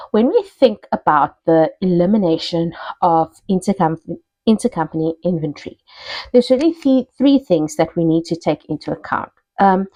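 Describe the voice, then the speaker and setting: A woman with a fairly low voice gives a step-by-step tutorial. She talks conversationally, like a lecturer checking that students follow. The sound is dry and close to the microphone. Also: darkish tone, dry recording. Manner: conversational teaching, checks in with "okay" and "right". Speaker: accounting lecturer. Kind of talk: explanatory tutorial, working through an example step by step.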